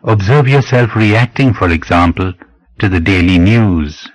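A man with a fairly low voice leads a guided meditation. He speaks very slowly and deliberately, leaving pauses between phrases as he gives instruction. The recording is close and dry. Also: expressive, no echo, low-quality recording. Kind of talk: guided meditation, scripted spiritual narration.

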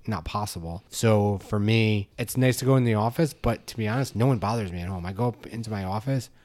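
A man's voice: on the low side, brisk, darkish tone. A man co-hosting a podcast in casual chat, talking in a matter-of-fact way and thinking aloud. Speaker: podcast co-host.

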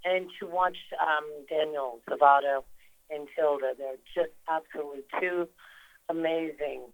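A woman's voice: sits low, steady tone, measured pace, no room sound, narrow, tinny audio. Older woman guest calling in, rambling and chatty, dry close sound. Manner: chatty, rambling with many fillers and restarts. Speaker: actress guest. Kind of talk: phone-in interview. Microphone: phone call.

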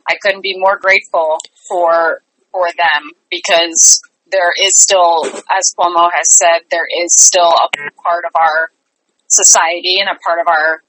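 A woman's voice: on the low side, steady tone, a little slow, fairly bright tone, a little hiss or hum, low-quality recording. A woman speaking as a guest in a conversational interview, earnest and emphatic in the way she talks. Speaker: interview guest, theatre artistic director.

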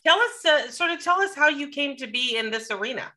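A woman's voice: sits high, animated, fairly quick, bright, forceful tone. An American woman interviewing casually as a podcast host.

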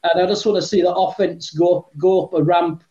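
A man's voice: sits high, fairly even intonation, brisk, dark tone. A man with a Yorkshire accent, chatting as a podcast guest, rambling with lots of fillers and the odd swear word. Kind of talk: casual chat. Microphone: earbuds. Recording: echoey room, dull lo-fi earbud mic.

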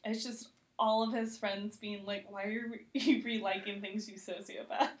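A woman's voice: mid-range voice, flat delivery, moderate pace. Casual chatty woman in an echoey room. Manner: conversational, heavy use of "like", giggly. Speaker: podcast guest, TV critic. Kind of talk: casual podcast chat. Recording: roomy, echoey sound.

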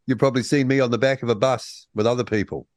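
A man's voice: medium pitch, fairly even intonation, fast talker, no room sound. A radio host in a dry, close studio sound, bantering in a jokey way. He tells anecdotes and plays all the voices in them. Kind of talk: radio talk show.

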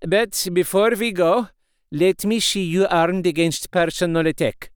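A man playing a wise, kindly elderly professor who speaks in a solemn, formal, deliberate way. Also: high-pitched, smooth, no room sound, studio quality, pitched above the performer's own voice.

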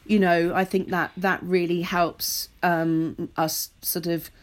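A middle-aged British woman talking casually and in an animated way as an interview guest, a theatre writer and director. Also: fairly low pitch, slow.

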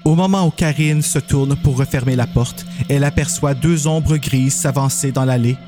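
A man's voice: mid-range voice, moderate pace, over music. A man reading scripted narration for a dramatised horror story, as its narrator.

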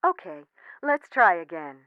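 A woman playing a cable company's automated phone menu in an audio comedy, speaking in measured, chirpy, formulaic customer-service prompts over a phone line. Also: lowish voice, very animated, smooth, no echo. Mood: polite, unruffled. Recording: band-limited telephone line sound.

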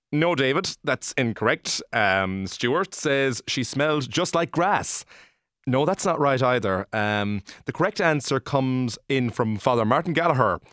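A man's voice: mid-range voice, animated, measured pace, fairly bright tone, no room sound, clean studio sound. An Irish man playing a deferential, eager radio sidekick who reads out listener messages in a comedy radio play. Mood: hesitant. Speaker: radio co-host.

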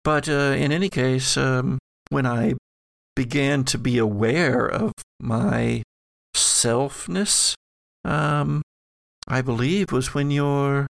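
Man playing an ancient halting female ghost, creaky voice. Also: medium pitch, no echo. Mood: musing, hesitant. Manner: halting, musing, searching for words. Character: ancient ghostly female spirit of ectoplasm, musing and evasive. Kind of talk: improvised dialogue in an audio drama game.

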